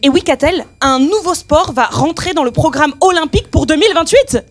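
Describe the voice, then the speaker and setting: A woman's voice: sits high, animated, brisk. A woman co-presenting a mock radio news bulletin with upbeat announcer delivery.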